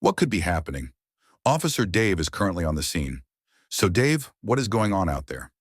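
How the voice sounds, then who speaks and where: A man's voice: deep voice, big pitch swings, moderate pace, husky, dry recording, clean studio sound, full character voice. A man playing a news anchor, presenting scripted breaking news in a presenterly way. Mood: newsy, concerned. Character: male news anchor covering an outbreak.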